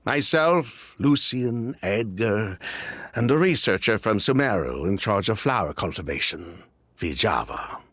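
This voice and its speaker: An actor playing a wary former merchant who served prison time, guarded and blunt, in a video game. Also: male voice, lowish voice, very animated, a little slow, fairly bright tone, no room sound, clean studio sound, full character voice. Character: wary former merchant man, resentful ex-convict.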